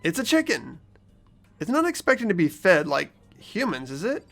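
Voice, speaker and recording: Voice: medium pitch, sing-song, normal speed, a bit bright, soft background music. A performer voicing a wary, bewildered teenage schoolboy who questions things incredulously in a fan-voiced game. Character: bewildered, wary teenage schoolboy protagonist, sarcastic inner narrator.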